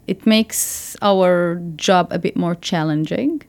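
A woman whose first language is Arabic, answering interview questions at a measured pace with frequent pauses and restarts. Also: lowish voice, darkish tone. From Israel. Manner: measured, hesitant, many fillers and restarts. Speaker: embassy spokesperson, interview guest.

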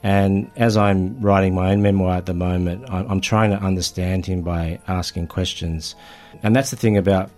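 A man talking reflectively in an interview, halting and repeating words as he searches for phrasing. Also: low voice, fairly even intonation, darkish tone. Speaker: writer and storyteller.

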